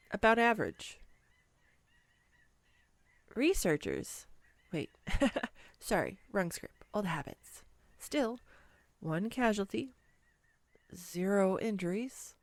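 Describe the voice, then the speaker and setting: A woman playing a clumsy, nervous, giddy young woman on a first date in a romantic audio roleplay, rambling awkwardly and self-deprecatingly with halting stumbles. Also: medium pitch, no echo. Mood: self-deprecating, upbeat. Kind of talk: scripted romantic audio roleplay addressed to the listener.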